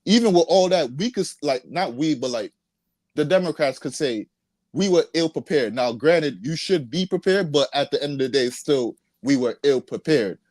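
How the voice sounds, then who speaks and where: A man's voice: fairly high pitch, expressive, moderate pace, darkish tone, no echo. Man hosting a casual podcast. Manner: interjects, steers talk, hesitant restarts. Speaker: podcast host. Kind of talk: casual political chat.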